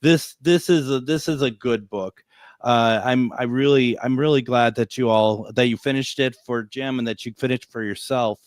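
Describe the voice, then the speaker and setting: A man's voice: medium pitch, normal speed, no room sound. Man, radio host, chatty, repeats himself, dry close sound. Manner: chatty, repeats words while thinking, animated at times. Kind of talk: casual interview conversation. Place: radio or podcast interview.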